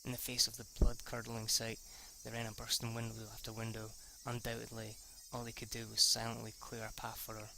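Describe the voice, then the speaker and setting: A man reading a visual novel aloud as an amateur, haltingly, stumbling and correcting himself with the odd swear word as an aside. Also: medium pitch, monotone, creaky, noisy background. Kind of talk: amateur read-through of a story.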